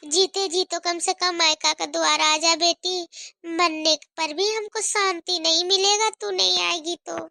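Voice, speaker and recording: A woman voicing an old mother who gently pleads with her married daughter to visit. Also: very high-pitched, fairly even intonation, measured pace, creaky, no echo, clean studio sound, exaggerated voice. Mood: pleading.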